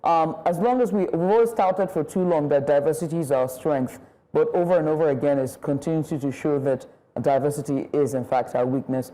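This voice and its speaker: A woman's voice: low voice, dark tone, rough recording. Woman presenter wrapping up. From Nigeria. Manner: measured, formal wrap-up with fillers. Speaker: news presenter. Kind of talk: closing a panel debate. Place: TV studio.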